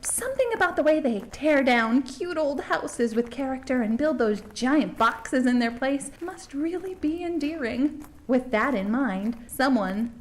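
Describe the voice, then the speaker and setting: A woman playing a folksy small-town documentary host, gushing politely in tour-guide patter, as in a comedy mockumentary. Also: sits high. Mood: breezy, polite. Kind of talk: scripted mockumentary narration and interviews.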